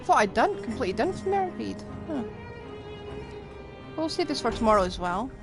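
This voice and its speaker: A woman's voice: a little high, very animated, fairly quick, vocal fry. A woman game streamer giving casual live commentary, thinking aloud as she plays. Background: game music.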